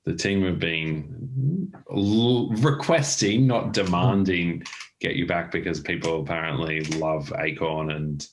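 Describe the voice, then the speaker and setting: Deep voiced guy hosting a video call chat, rambly, cracks jokes, keeps restarting. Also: slow, slight background noise, low-quality recording. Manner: rambling, self-interrupting, joking. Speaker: host. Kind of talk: casual interview chat.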